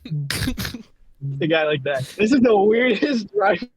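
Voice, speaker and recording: Higher-voiced man chatting, faint noise behind. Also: sing-song, slow pace, rough recording. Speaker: podcast co-host. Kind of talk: casual chat. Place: in a car while driving.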